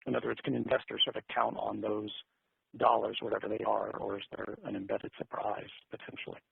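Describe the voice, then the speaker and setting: A man's voice: lowish voice, completely flat delivery, a little fast, dry recording. A man asking questions as a financial analyst on an earnings call, conversational and hedging, over a phone line. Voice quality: gravelly, husky. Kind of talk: spontaneous business questioning. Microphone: telephone. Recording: muffled, narrow phone line.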